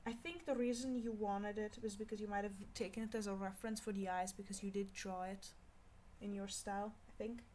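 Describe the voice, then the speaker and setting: A young woman with a Scottish accent chatting casually on an art livestream, rambling and scolding herself out loud in exasperation. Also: medium pitch, fairly even intonation, a little fast, slight background noise. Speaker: art streamer. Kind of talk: casual livestream chat while drawing.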